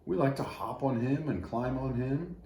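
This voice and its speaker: Kindly little storybook squirrels, read by a man, lifted in pitch, mellow, slightly echoey room. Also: normal speed, natural voice. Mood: pleading, encouraging. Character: friendly little squirrels comforting their tree friend. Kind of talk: children's storybook characters. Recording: roomy echo, faint noise.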